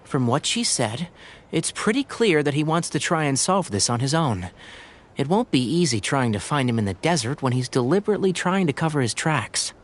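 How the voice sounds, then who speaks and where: Probing young companion. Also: male voice, a little high, big pitch swings, moderate pace. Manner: probing, direct.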